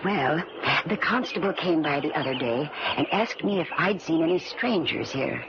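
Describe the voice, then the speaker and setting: A man voicing a gossipy rustic older woman, a folksy lakeside neighbor who talks haltingly, in old-time radio horror style. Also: on the high side, expressive. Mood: ominous gossip. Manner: halting, folksy, dragging out the news. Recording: noisy, dull old radio recording.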